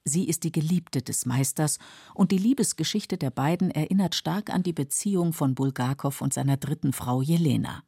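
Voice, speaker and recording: A woman narrating a scripted literary documentary in a measured, explanatory way, with a husky, creaky voice. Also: low voice, mellow, no echo, studio quality. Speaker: narrator of literary radio feature.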